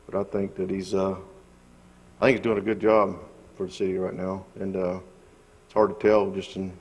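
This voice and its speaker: A man's voice: low-pitched, darkish tone, husky. A man on a city council, plain-spoken and hesitant with fillers, addressing the mayor. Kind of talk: formal remarks at a council meeting. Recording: long reverb of a council chamber.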